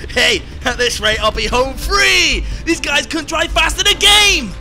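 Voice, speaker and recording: A man playing a shrill, manic psychopathic villain in an amateur radio play. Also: fairly even intonation, takes it slowly, gravelly, pushed and bright, faint music behind, exaggerated voice. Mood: manic, taunting. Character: taunting psychopathic criminal, man, cackling then pleading.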